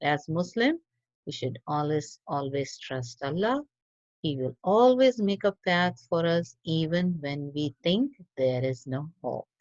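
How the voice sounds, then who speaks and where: Woman telling a kids religious story, lowish voice, expressive like a teacher, dry dull recording. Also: a little slow, no room sound. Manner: expressive, teacherly, addressing children. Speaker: storyteller reading to school children. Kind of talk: children's religious story narration. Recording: dull lo-fi audio.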